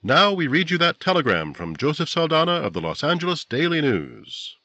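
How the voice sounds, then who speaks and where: A polished actor as a male radio announcer from 1950, with music behind him. His pitch moves widely as he delivers formal, emphatic copy with earnest salesmanship. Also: sing-song, moderate pace, highs cut off. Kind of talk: 1950s radio announcing, scripted.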